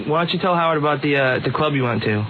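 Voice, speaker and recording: A man's voice: a little high, very fast, crowd noise. A man on a radio show prodding the guest to tell a gossipy story. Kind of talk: radio talk show banter. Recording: muffled radio broadcast with noise.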